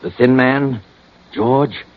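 An actor playing a pushy, hard-boiled police detective in a vintage crime radio drama, with insistent questions and clipped orders. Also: male voice, mid-range voice, slow, close to an everyday voice. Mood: pressing, urgent. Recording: dull lo-fi old radio recording.

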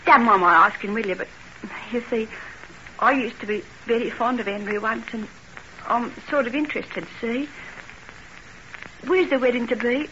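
Brusque, bitter young housekeeper, high and piercing, for vintage radio drama. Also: female voice, normal speed, long pauses, pushed and bright. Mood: wistful. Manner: brusque, slangy, defensive. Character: guarded, bitter working-class young woman, sharp-tongued housekeeper. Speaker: actress. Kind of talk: old radio drama acting. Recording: muffled noisy old radio recording.

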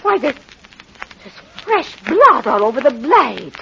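An actress playing a nagging, alarmed British wife in an old-time radio drama. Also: female voice, high-pitched, very animated, slow pace, gravelly, sharp tone, full character voice. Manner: scolding, alarmed. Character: nagging, frightened working-class British wife. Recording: muffled, faintly noisy old radio recording.

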